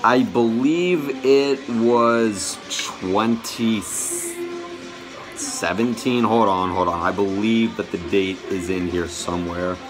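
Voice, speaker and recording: A young man giving a casual show-and-tell to camera, halting and often correcting himself. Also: fairly low pitch, music in the background. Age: young adult. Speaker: vlogger showing a music collection. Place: small bedroom, indoors.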